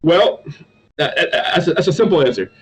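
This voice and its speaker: Man from North Carolina, interview guest, stammers a lot, repeats words, slightly high-pitched. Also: big pitch swings, normal speed, husky. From North Carolina, USA. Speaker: guest, toy reviewer. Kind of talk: casual interview chat.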